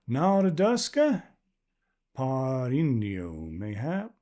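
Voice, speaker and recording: A man's voice: medium pitch, wildly swooping pitch, very slow, dark tone, heavily acted. A man doing a wary old frontiersman. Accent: odd near-Southern drawl. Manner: gruff, clipped, old-fashioned folksy speech. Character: wary weathered older frontier man, armed.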